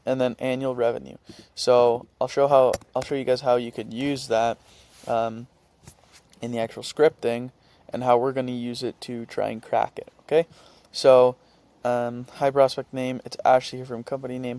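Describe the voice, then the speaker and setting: A man presenting an informal screen-share tutorial, talking haltingly as he thinks aloud and reads out what he types. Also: medium pitch, level tone, warm tone. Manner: halting, thinking aloud while typing and reading out script.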